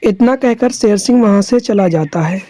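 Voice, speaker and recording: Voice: mid-range voice, normal speed, warm tone. A narrator reading a scripted children's story.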